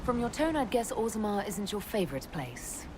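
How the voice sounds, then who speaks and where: A woman's voice: mid-range voice, big pitch swings, moderate pace, background noise, lightly acted. A woman playing a composed elf mage leader in a video game, direct and dryly witty. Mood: dry, teasing. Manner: direct, questioning, dry.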